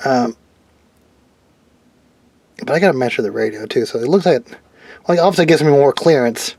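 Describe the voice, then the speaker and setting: A man's voice: mid-range voice, animated, moderate pace, darkish tone, no echo. A man narrating his hands-on project in a casual, unscripted way, rambling and thinking aloud informally. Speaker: DIY radio hobbyist presenting own project. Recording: clearly noisy background.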